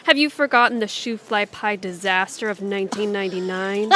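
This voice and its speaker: A woman's voice: medium pitch, a little fast, bright, forceful tone, a little hiss or hum, close to an everyday voice. A woman playing a sensible, exasperated grown-up daughter in an audio comedy. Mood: skeptical. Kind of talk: audio theatre comedy acting.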